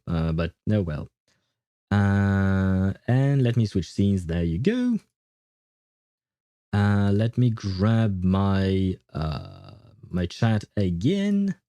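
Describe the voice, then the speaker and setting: Male streamer, low relaxed mellow voice, dry close sound, rambling casual chat with playful grumbling. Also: dry recording. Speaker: livestream host, gaming streamer. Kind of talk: casual livestream chat with viewers.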